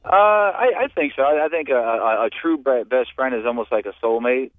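A man's voice: medium pitch, no echo. American man on a phone-like line, stammering. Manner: halting, stammering with repeated words. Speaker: radio call-in listener. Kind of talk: casual personal reminiscing. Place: on a phone call to a radio studio. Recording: muffled phone-like call-in line.

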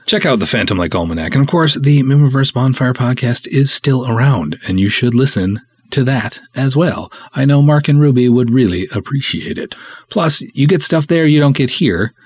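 A man's voice: mid-range voice, expressive, normal speed, husky, no echo. A man hosting a podcast in a casual, conversational style, talking and reading aloud with wry, snarky sarcasm. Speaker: podcast host and filmmaker.

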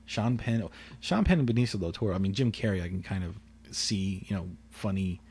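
A man co-hosting a casual podcast chat, talking quietly and calmly, rambling with restarts and swearing. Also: low voice, fairly quick, slight background noise. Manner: quiet, calm delivery; rambling with frequent restarts and profanity. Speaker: podcast co-host.

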